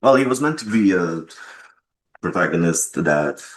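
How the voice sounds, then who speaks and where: A man answering interview questions as a game developer and writer, explaining things in a measured way. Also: low voice, expressive, a little hiss or hum.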